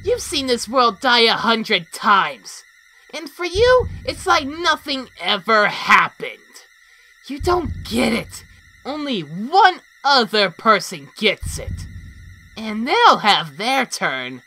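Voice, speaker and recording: High, manic evil flower creature. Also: female voice, big pitch swings, slow pace, pushed and bright, full character voice, rough recording. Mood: manic, gloating. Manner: taunting, theatrical, giggling. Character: mocking, manic, malicious talking flower creature. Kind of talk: animated comic dub acting.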